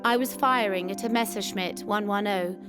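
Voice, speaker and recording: A woman's voice: mid-range voice, normal speed. A woman reading a scripted first-person wartime memoir as a narrator. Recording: music behind the voice. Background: music.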